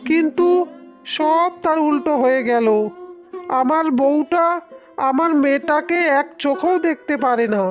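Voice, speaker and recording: Woman voicing cartoon village dad, high voice, aggrieved n protective husband, music behind. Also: a little slow, muffled recording. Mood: sorrowful. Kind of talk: cartoon fairy-tale dialogue. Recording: music under the voice.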